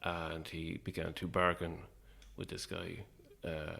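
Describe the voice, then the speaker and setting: A middle-aged man with an Irish accent speaks in a very low voice with a creaky, gravelly edge. He chats informally as an interview guest, in a modest, self-deprecating way. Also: monotone, slow pace, bright, forceful tone. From Ireland. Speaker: guest, playwright and actor. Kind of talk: casual interview chat.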